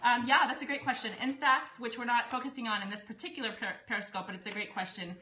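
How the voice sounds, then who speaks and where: Bright, bubbly woman hosting a live stream. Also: fairly high pitch, steady tone. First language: English. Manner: bubbly, chatty, quick to laugh. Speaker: host of a live product stream. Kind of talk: casual live-stream chat. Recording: echoey room, faint noise.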